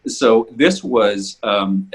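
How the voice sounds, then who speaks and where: Man, slightly rough husky voice, casual podcast host, halting delivery with repeated words. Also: on the low side. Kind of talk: casual conversational introduction.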